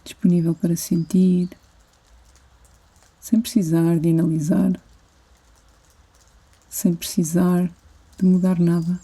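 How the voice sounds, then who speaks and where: Woman, European Portuguese accent, meditation guide, soothing, low-pitched, very even intonation, unhurried pauses. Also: husky. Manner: soothing, gentle, unhurried phrasing with long pauses. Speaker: yoga nidra instructor.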